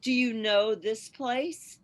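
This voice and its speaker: A woman playing a solemn, measured ghostly spirit who guides and questions in a radio play. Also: fairly high pitch.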